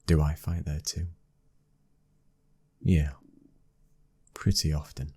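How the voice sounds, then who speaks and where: A British man playing a young mafia boss's son, a protective, controlling husband, in an intimate ASMR roleplay, with a husky, breathy voice and a commanding manner. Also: rumbling low, a little mellow, full character voice. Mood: guarded, firm. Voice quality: husky, breathy, sometimes dropping to a whisper. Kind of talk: ASMR boyfriend roleplay audio drama.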